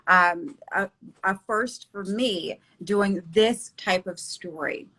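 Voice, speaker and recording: A bubbly woman hosts a live online interview show, gushing with excitement over her guest. Her voice is bright and forward. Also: medium pitch, takes it slowly, low-quality recording. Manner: bubbly and effusive. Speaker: host.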